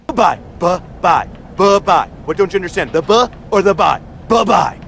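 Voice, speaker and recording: Game villain, arrogant wrestler, condescending and mocking, slightly high pitch. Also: male voice, lively intonation, normal speed, vocal fry, fairly bright tone, slight background noise, heavily acted. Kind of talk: scripted wrestling game cutscene acting.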